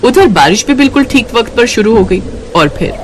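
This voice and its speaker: A woman's voice: on the high side, lively intonation, a little fast, a little hiss or hum. A woman reading scripted narration for a moral family story.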